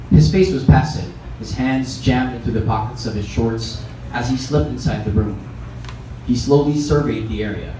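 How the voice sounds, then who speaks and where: A man with a Filipino accent reads a story as a narrator at a live event. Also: medium pitch. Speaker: reader at a literary event. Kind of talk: scripted fiction narration. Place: echoey room at a live event. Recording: echoey, distant mic, clearly noisy live event.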